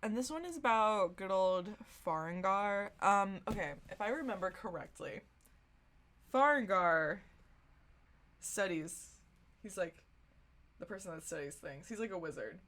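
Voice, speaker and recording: Amused woman podcaster, medium pitch. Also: animated, a little slow, a bit bright. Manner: informal, slangy, amused. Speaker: podcast co-host. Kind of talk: casual chat and comedic story reading.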